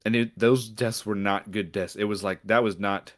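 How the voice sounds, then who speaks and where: A man's voice: lowish voice, steady tone, moderate pace. A man co-hosting a podcast, talking casually and reacting to a story. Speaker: podcast co-host. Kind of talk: casual chat.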